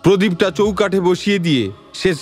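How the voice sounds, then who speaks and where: Male narrator, scripted story, fairly high pitch, expressive intonation, literary delivery, faint background music. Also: normal speed.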